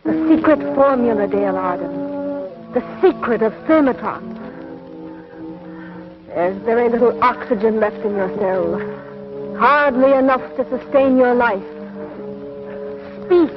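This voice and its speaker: Slow, high-voiced evil space queen played by an actress. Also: close to an everyday voice. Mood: cold threat. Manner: commanding, slow, theatrical. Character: imperious, menacing evil space queen interrogating a captive. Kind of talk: 1950s television sci-fi serial acting. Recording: muffled old TV soundtrack with music.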